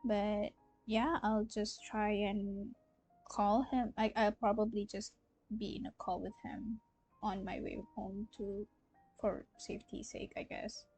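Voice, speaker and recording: A woman's voice: mid-range voice, little pitch movement, a little slow, darkish tone. A young woman chatting casually on a game livestream, hesitant and rambling, often trailing off. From Malaysia. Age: young adult. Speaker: game streamer. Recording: game music behind the voice.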